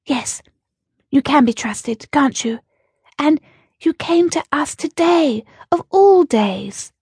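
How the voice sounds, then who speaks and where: A woman voicing a wise, commanding female rabbit who leads her clan, speaking in a stately, measured and slightly self-important way. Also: high-pitched, expressive, a little mellow, no room sound, studio quality, voice pushed up, full character voice. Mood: solemn.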